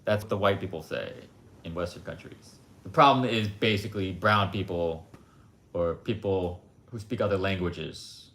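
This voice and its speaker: Low-voiced blunt man rambling. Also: normal speed, fairly bright tone. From United States. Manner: rambling, blunt, frequent asides with "like" and "um". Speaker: livestream host. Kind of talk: casual opinionated monologue. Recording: roomy echo.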